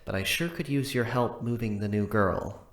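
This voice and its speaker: A man's voice: fairly low pitch, moderate pace, a bit bright. A man playing a hesitant, informal henchman in a comic audio drama, drawing out his words. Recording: roomy echo.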